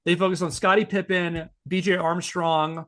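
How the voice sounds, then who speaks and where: A man with a slightly high voice hosts a podcast. He presents prepared material like a storyteller giving a lesson, laying out points in careful, instructive lists. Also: flat delivery, normal speed, a bit bright.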